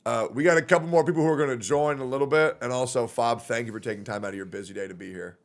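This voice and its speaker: A man's voice: mid-range voice, very fast. A man hosting a gaming stream, chatting on a voice call with crude, teasing banter. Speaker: streamer host.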